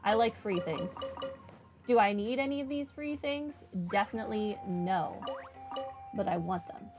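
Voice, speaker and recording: A woman's voice: mid-range voice, animated, measured pace, over music. A woman game streamer giving casual, wry live commentary on her playthrough. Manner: chatty, wry.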